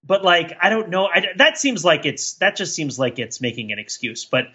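A middle-aged man co-hosting a podcast, casually talking about pop culture in a rambling, self-reflective, animated way. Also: fairly high pitch, highs cut off. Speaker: podcast co-host.

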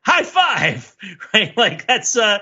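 A man's voice: sits high, sing-song, slow, pushed and bright, husky. A man talking casually and emphatically as a podcast panelist, often acting out imagined quotes and chuckling as he discusses a TV show.

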